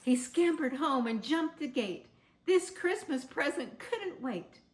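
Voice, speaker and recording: A woman reading a children's story aloud in a theatrical, measured, expressive way. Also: high voice. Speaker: storybook reader. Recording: roomy, echoing sound.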